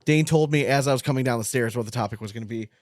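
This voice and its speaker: A man hosts a podcast in a casual, bantering way. His mid-pitched voice is recorded dry, with very little room sound. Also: racing, a little mellow, no room sound. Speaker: podcast host.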